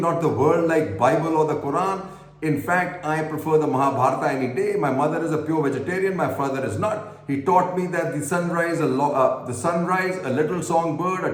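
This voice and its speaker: Man, earnest reader, slightly high voice, stumbles and corrects himself; roomy echo. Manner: earnest, stumbles and self-corrects while reading. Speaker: commentator reading an essay aloud. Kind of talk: reading aloud with personal asides.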